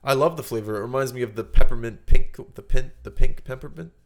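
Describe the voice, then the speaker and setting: A man's voice: mid-range voice, quick pace. A man hosting a podcast in casual chat, explaining things in a mock-serious way. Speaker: podcast host.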